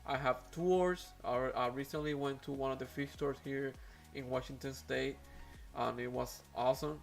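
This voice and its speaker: A man's voice: mid-range voice, steady tone, moderate pace, gravelly, faint music behind. A man whose first language is Spanish, speaking English with a Spanish accent as host of an aquarium live stream, talking casually with many fillers and restarts.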